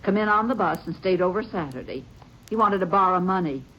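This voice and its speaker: A woman's voice: fairly low pitch, expressive, fairly quick, fairly bright tone, highs cut off. An actress playing a plainspoken rural stepmother in an old radio drama, talking in a drawling country way. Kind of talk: 1950s radio drama acting.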